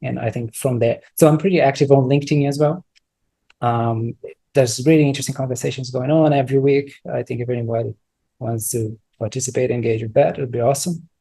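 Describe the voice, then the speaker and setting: Guy, soft warm voice, kinda halting, thinks out loud. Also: medium pitch, steady tone, creaky, husky, low-quality recording. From Brazil. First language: Brazilian Portuguese. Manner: searching for words, many restarts, thoughtful. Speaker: guest, software entrepreneur. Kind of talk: casual interview answers.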